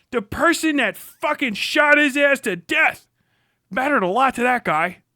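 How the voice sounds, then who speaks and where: A man with a notably high, bright voice, recorded dry and close. He streams sarcastic political commentary, swearing heavily and mocking in a combative way. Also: no echo. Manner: heavy swearing. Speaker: live streamer reacting to a video. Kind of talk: profane political rant commentary.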